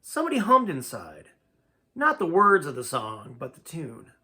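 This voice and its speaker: A man's voice: fairly high pitch, huge pitch swings, normal speed, sharp tone. A man reading a first-person tale aloud in a dramatic, folksy storytelling manner. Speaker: amateur audiobook reader.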